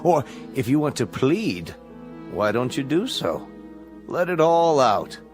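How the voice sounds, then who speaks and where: Casting: cruel, smug totalitarian chancellor, radio play; formal, menacing courtesy, average male pitch, light music underneath. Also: very animated, slow. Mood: mocking. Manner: formal, condescending, mockingly polite, menacing. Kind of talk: radio drama acting.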